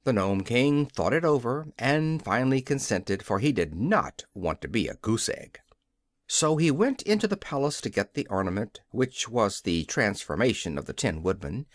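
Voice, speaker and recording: Guy reading a kids audiobook, expressive storyteller, lots of pitch movement, dry sound. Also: no room sound. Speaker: audiobook reader. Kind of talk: scripted storybook narration.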